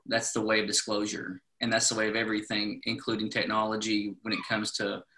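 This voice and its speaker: A man's voice: on the low side, monotone, brisk, bright, forceful tone, a little hiss or hum, low-quality recording. A man hosting a casual podcast, laid-back and slangy, calling people dude. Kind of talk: casual chat.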